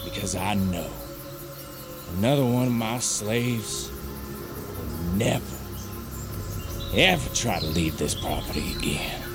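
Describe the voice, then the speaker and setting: An actor playing a cruel, gloating Southern plantation owner with a menacing, mocking drawl in an audio drama. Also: male voice, lowish voice, animated, takes it slowly, long pauses, music in the background. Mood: gloating, cold.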